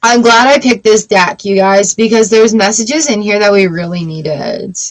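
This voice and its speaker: A woman hosting a live tarot reading, reading card meanings aloud and reassuring her viewers in a gentle, encouraging way. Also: mid-range voice, moderate pace, rough recording. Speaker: tarot reader.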